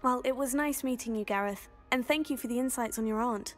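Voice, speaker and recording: A woman's voice: a little high, fairly quick, natural voice. A woman playing an earnest, polite teenage witch new at school in a video game. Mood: polite, curious. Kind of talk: video game character dialogue. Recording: music behind the voice.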